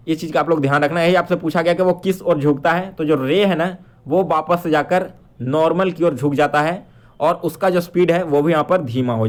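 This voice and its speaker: Higher-pitched man teaching exam questions. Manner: explanatory, with frequent check-in tags and English terms mixed in. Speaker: exam-prep teacher and quiz presenter. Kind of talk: instructional quiz walkthrough, addressing students.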